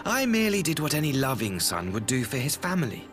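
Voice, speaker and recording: An actor playing a smooth, scheming young ambassador in a video game, mock-courteous and theatrical. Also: male voice, mid-range voice, huge pitch swings, fast talker, music in the background. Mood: smug, taunting. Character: smooth, scheming young imperial ambassador, cruelly mocking brother. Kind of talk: video game cutscene acting.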